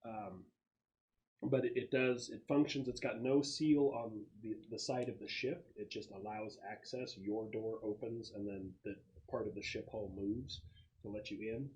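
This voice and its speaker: A man running a tabletop game as game master, describing scenes off the cuff and pausing as he searches for words. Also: lowish voice, fairly even intonation, a little mellow, highs cut off. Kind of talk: improvised tabletop game narration.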